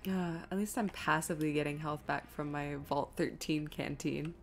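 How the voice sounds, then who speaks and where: A woman's voice: sits low, fairly even intonation, normal speed. A woman streaming a game, giving casual, self-deprecating commentary as she rambles and thinks aloud. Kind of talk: casual live gameplay commentary, reading chat aloud.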